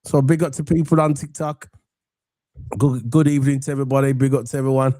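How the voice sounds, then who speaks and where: Croaky English man hosting football chat. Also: medium pitch, flat delivery, fast talker, no echo. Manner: chatty, rambling, gives shout-outs to viewers. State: croaky from a cold. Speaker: football podcast host. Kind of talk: casual chat.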